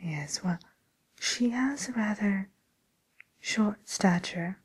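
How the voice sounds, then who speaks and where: A woman playing a polite, tactful matchmaker for villains in a whispered ASMR role play, speaking breathily with gentle, hesitant pauses. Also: mid-range voice, lively intonation, breathy edge, heavily acted. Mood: tactful, gently amused.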